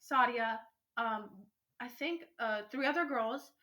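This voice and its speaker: A young teenage girl with a stuffy nose, chatting and telling stories casually as a podcast co-host in an excitable, rambling way. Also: fairly high pitch, slow.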